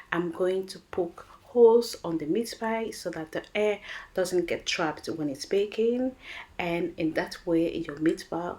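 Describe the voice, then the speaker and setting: A woman with a French accent narrates a home cooking video, speaking slowly and warmly to her viewers. Her voice sits a little low, and she keeps up a chatty, encouraging manner as she explains each step. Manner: chatty, encouraging, addresses viewers as guys and friends. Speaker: cooking channel host. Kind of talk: recipe voice-over.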